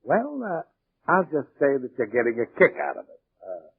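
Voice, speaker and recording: An actor playing a scheming gold prospector with glib, breezy false concern, in 1940s radio drama style. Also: male voice, medium pitch, wildly swooping pitch, normal speed, breathy edge, heavily acted. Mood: falsely solicitous. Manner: glib, dismissive, casual persuasion. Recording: vintage radio, narrow tinny band.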